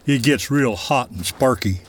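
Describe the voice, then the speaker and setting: Male actor as genially tough frontier paymaster, wry and folksy, medium-pitched. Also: measured pace, husky. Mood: amused, wry.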